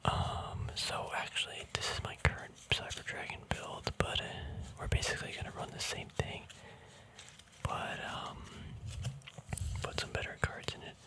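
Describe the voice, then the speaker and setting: An ASMR host speaking in a casual, rambling whisper, hesitant and thinking aloud. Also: a little slow. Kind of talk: ASMR whispering, casual card unboxing chat.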